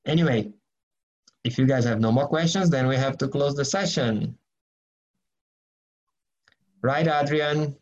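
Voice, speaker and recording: A man's voice: mid-range voice, a little slow, long pauses, highs cut off. A man, leading an online Bible study, speaking earnestly with many restarts, then praying. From Brazil. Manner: earnest, rambling, frequent restarts and fillers. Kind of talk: casual teaching and prayer in an online group session.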